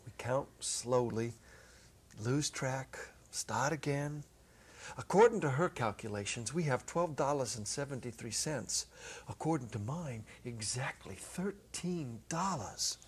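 A middle-aged man narrating a story dramatically from a script, with deliberate phrasing and pauses. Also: mid-range voice, animated, slightly rough. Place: small indoor stage set. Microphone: clip-on lapel mic.

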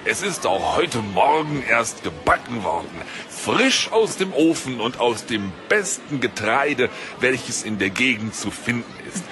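Fantasy radio play merchant, male actor: servile, smooth-talking, slow and swooping delivery, music underneath. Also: mid-range voice, big pitch swings. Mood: ingratiating. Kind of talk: German fantasy audio drama acting.

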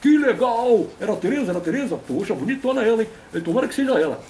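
Man doing a high-voiced boastful old grandpa puppet. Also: big pitch swings, fast, a little mellow, slight background noise. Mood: emphatic. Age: older. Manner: pompous, folksy, repeats a stock affirmation. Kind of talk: comic puppet interview.

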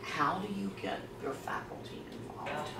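A woman's voice: low voice, lively intonation, a little slow, creaky. A woman in a conference audience asking the speaker a direct question. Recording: echoey room from far off, noisy.

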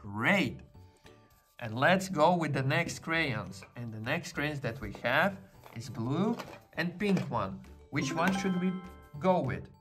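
A man narrates a children's puzzle video, his voice sitting a bit high for a man. He talks very slowly and warmly encourages the viewer at every step. Gentle music plays faintly underneath. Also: expressive. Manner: patient and praising, often saying "great" and "well done". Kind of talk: slow, encouraging narration to toddlers while doing a puzzle.

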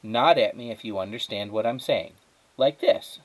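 Storybook role: cynical but earnest young girl, bossy, excitable, emphatic and conversational, read by a man. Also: medium pitch, normal speed, natural voice, poor audio. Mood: eager, earnest.

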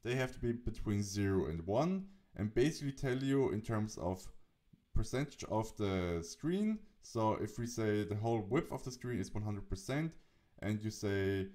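A man narrating an instructional software tutorial, explaining steps as the presenter. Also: lowish voice, expressive, normal speed.

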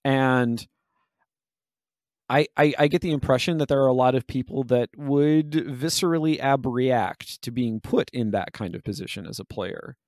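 A man's voice: medium pitch, moderate pace, darkish tone, dry recording, studio quality. A man hosting a podcast, talking through game analysis in a wordy, analytical way and often rephrasing himself mid-sentence. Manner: analytical, wordy, hesitant self-interrupting phrasing. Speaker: podcast host.

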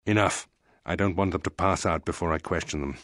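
A man's voice: sits low, fairly quick, fairly bright tone, dry recording, studio quality. An actor playing a cold, smug older male fleet admiral in a sci-fi game, speaking with formal, condescending menace. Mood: smug, menacing. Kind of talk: dramatic video game acting.

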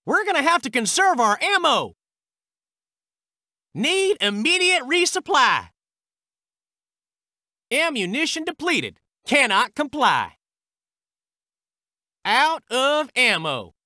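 US artillery soldier, war game: American, high-strung, clipped, forceful, dry close sound. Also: male voice, big pitch swings, takes it slowly, long pauses, no room sound, heavily acted. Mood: strained, frustrated. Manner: clipped military delivery. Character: high-strung male US Army artillery crewman. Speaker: video game unit voice. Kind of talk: military game unit barks.

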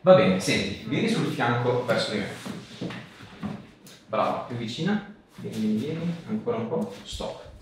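A man's voice: medium pitch, expressive, moderate pace, long pauses. A man in his thirties speaking informally as a chiropractor, guiding a patient with questions and short, repeated commands. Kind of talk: hands-on patient consultation with questions and short instructions. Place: small treatment room indoors. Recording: very echoey small room, distant mic, faint noise.

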